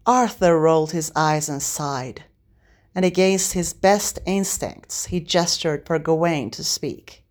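A woman reading a story aloud as narrator, in an expressive scripted style. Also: low voice, slow pace. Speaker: podfic narrator.

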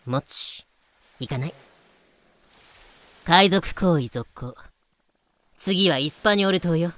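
A woman's voice: fairly low pitch, animated, slow pace, fairly bright tone, dry recording. Woman acting a cocky, breezy pirate captain lady. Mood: breezy, confident. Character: flamboyant, cocky female pirate captain Blackbeard. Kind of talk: fan voice drama acting.

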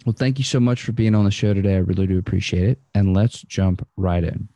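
Deep-voiced, mellow male podcast host. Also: quick pace, no echo. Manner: formal, reads a prepared intro. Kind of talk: scripted guest introduction then interview questions.

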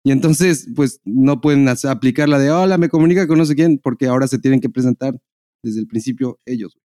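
A man's voice: medium pitch, brisk, warm tone, no room sound, studio quality. A Mexican man hosting a podcast, chatting casually and informally with slangy wording. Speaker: podcast host. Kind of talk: casual conversational chat.

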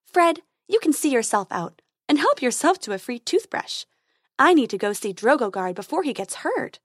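A woman doing a brisk, high tooth fairy. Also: very animated, no room sound, clean studio sound. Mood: concerned. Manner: brisk, bright, confident. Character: brisk, brave female tooth fairy dentist.

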